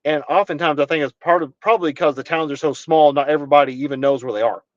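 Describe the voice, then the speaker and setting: A man's voice: on the high side, fast talker, no room sound, dull audio. A young man from Kentucky talking earnestly as a podcast guest, often restarting his sentences as he rambles. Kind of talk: casual conversation.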